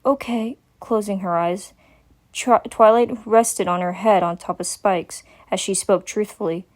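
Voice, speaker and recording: A woman's voice: medium pitch, steady tone, measured pace. A woman narrator reading a story aloud in a dramatic, scripted storytelling style. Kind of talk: dramatic fan fiction reading.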